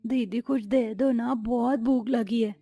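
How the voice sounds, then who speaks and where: A woman's voice: a little high, fairly even intonation, unhurried, dark tone, no room sound, faint music behind. A woman voicing a hungry orphaned small child in a cartoon, plaintive and tearful. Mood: hungry, pleading.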